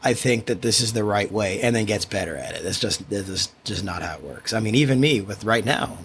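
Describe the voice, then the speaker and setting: Casting: soft-spoken man, low voice, reflective solo podcaster, faint hiss behind. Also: animated, brisk. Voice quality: quiet voice. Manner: reflective, rambling with restarts. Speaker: podcast host. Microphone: studio microphone.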